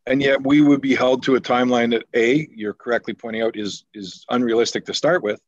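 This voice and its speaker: A Canadian man talks at a council meeting over a video call, earnest and full of restarts and repeated words. The audio is dry and a little dull, typical of a laptop call. His pitch sits in a plain middle range. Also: steady tone, fairly quick, no echo. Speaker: deputy mayor at a council meeting. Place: home office on a video call.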